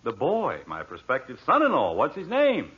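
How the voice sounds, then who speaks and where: Exasperated middle-aged dad, husky, lively swooping pitch, sarcastic authority, 1940s radio comedy, vintage recording. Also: male voice, moderate pace, highs cut off, full character voice. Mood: sarcastic, probing. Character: exasperated, wry middle-aged suburban father.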